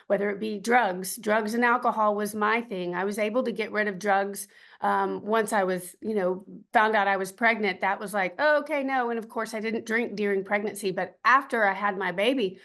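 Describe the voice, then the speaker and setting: A middle-aged woman with a raspy voice telling her personal story as an interview guest, earnest and faith-filled, with many ums and some throat clearing. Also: medium pitch. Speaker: podcast guest.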